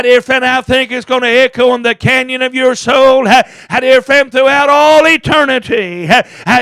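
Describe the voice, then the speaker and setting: High-pitched preacher man, fervent and rhythmic. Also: fairly bright tone, no room sound. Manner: rhythmic chanting delivery in short bursts, each punctuated by a gasped "uh"; emphatic, impassioned. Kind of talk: fervent hellfire sermon.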